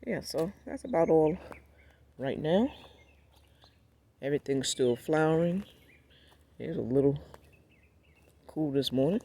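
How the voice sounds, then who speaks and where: A man with a gravelly, raspy voice, hoarse from a cold, walking through his backyard garden. He rambles in a relaxed way and talks directly to his viewers. His pitch sits a little above the usual for a man. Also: unhurried, pauses often. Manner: laid-back, rambling, talks straight to viewers. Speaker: gardening vlogger. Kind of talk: casual walkthrough vlog.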